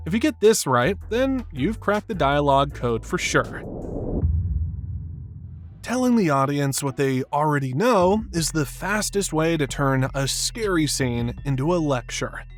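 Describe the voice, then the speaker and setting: A man's voice: fairly high pitch, big pitch swings, measured pace, faint music behind. A man reading scripted video-essay narration in an emphatic, opinionated way.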